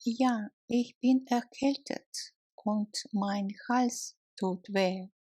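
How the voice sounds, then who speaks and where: A woman narrating a language lesson, reading short scripted phrases deliberately and separating the words for learners. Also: medium pitch, warm tone, dry recording.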